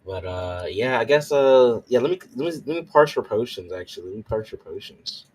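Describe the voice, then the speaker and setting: A man's voice: mid-range voice, lively intonation, brisk, darkish tone, husky, rough recording. A man playing a tabletop roleplaying game, chatting casually and hesitantly as he thinks aloud. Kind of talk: casual chat during a game.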